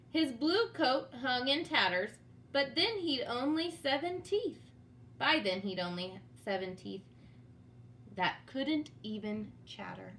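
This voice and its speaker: A woman's voice: high voice, bright, forceful tone. A woman reading a storybook aloud for children in a dramatic, teacherly manner, with asides to young listeners. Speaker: storybook reader. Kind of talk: expressive read-aloud for children.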